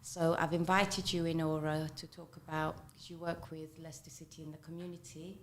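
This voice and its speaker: A woman hosting a radio talk show in a slightly low voice. She speaks in a measured, affirming way and echoes her guest's points back. A faint hiss runs under the studio sound. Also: flat delivery. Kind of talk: radio interview.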